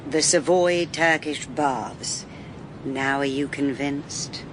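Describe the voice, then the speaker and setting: An actress playing a blunt, wry mid-century private detective in a radio drama, with an English accent and a brisk, sardonic manner. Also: female voice, a bass voice, slight background noise. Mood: pointed, teasing.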